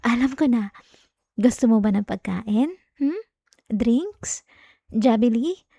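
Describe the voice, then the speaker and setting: Clingy, jealous girlfriend played by a woman, soft and mellow. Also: on the high side, animated, takes it slowly, gravelly, no room sound, studio quality, heavily acted. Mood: coaxing, pleading. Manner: coaxing, whiny, with sighs and hesitations. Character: clingy, jealous young girlfriend, pleading and pouting. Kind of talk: intimate ASMR girlfriend roleplay. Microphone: close, dry microphone.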